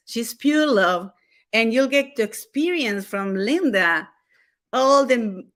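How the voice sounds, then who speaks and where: A woman's voice: mid-range voice, a bit bright. A woman hosting an online interview show, introducing her guest in an effusive, gushing way. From USA. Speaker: host.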